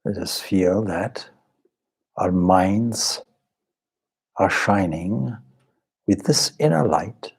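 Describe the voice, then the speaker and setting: Slow, low-voiced man guiding a meditation. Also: vocal fry, breathy edge. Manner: measured, deliberate, short phrases with pauses. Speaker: meditation teacher, swami. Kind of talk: guided meditation, slow spoken instruction.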